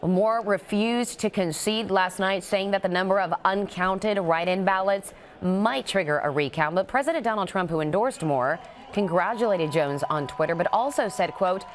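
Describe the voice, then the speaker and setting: A woman with an American accent reading scripted television news as an anchor. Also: fairly low pitch.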